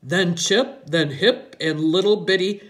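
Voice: medium pitch, a little slow, pitched above the performer's own voice, natural voice. A narrator voicing a jovial but commanding naval officer father with a big, hearty manner in an audiobook. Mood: hearty, playful. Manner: big-voiced, hearty, authoritative.

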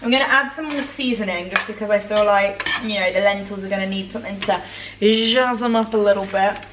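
A woman's voice: mid-range voice, brisk, background noise. A British woman in her twenties chatting casually and playfully as she vlogs her cooking, with bantering slang. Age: 20s. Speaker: vlogger. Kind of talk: casual cooking vlog chat. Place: home kitchen, indoors. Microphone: camera on the counter. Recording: echoey kitchen with clattering dishes.

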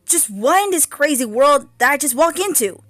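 Cartoon fairy warrior princess, played by a woman: high, swooping pitch, sassy, bold yet wary, dramatic delivery. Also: lively intonation, normal speed, fairly bright tone, dry recording. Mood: confused, uneasy. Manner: dramatic, sassy, hesitant stammers. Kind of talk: amateur cartoon character voice lines.

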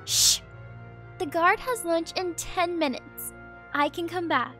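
A young woman voicing Hazel, a kind, lonely teenage schoolgirl, speaking softly and slowly. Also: very high-pitched, faint music behind, exaggerated voice. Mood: urgent. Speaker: voice actor. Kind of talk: amateur voiced game movie.

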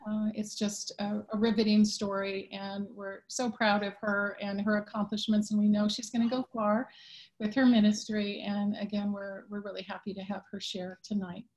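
A woman in her fifties introducing a guest speaker informally on a video call, pausing often as she goes. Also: medium pitch, level tone, a little mellow. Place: living room at home, indoors. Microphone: computer mic on a video call. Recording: dull, lo-fi video call audio.

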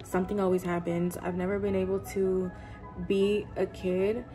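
A woman's voice: mid-range voice, flat delivery, normal speed. Young woman, twenties, casual bedroom vlog chat, candid, swears a lot, faint background music. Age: 20s. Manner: chatty, candid, swears often, lots of "like". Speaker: vlogger. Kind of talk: casual get-ready-with-me chat. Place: bedroom, indoors. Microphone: camera-facing, doing makeup at a desk.

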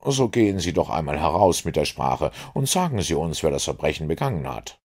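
A man's voice: extremely low pitch, sing-song, brisk, a little mellow, slightly rough, full character voice. A man doing an impatient, blunt police inspector. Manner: blunt, pressing.

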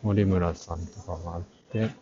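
A middle-aged man giving casual walk-through commentary for a vlog, halting and muttering asides to himself. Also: deep voice, steady tone, background noise. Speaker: art channel vlogger giving a walk-through. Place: large crowded indoor exhibition hall. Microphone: action camera at arm's length, face mask on. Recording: muffled audio in a busy crowded hall.

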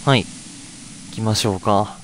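A man's voice: on the low side, a little slow, a bit bright, a little hiss or hum, low-quality recording. A man hosting a let's play, chatting casually to viewers and reading bits of on-screen text aloud as he goes.

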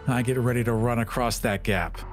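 A man's voice: medium pitch, steady tone, a little fast, over music. A man casually describing his character's actions as a player in a tabletop game.

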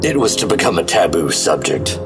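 A man's voice: low-pitched, very animated, normal speed, far from the mic. An actor playing a steely 1950s government agent, narrating his adventures in a clipped, dramatic, hard-boiled radio style. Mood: sober, resolute. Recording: loud music under the voice.

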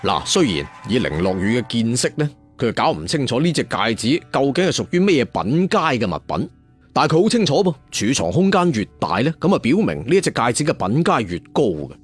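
Animated male storyteller, lively pitch. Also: breathy edge. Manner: chatty storyteller asides, drawn-out particles. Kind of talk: colloquial dramatic scripted narration of a fantasy tale. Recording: music behind the voice. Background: background music.

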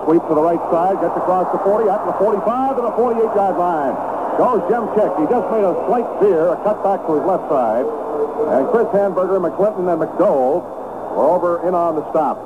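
A man's voice: on the high side, fairly quick. An American man calling a football game play by play for radio in clipped, descriptive phrases. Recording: narrow, noisy 1970s radio broadcast.

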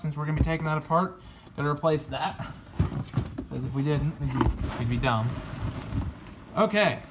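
A man's voice: on the high side, a bit bright, slight background noise. A young man talking to viewers in an easygoing way as he opens a box of tractor parts in a farm workshop, filmed on a close phone camera. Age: young adult. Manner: easygoing, talks to viewers while handling parts. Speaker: farm vlogger. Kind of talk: casual unboxing and shop talk. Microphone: phone camera held close.

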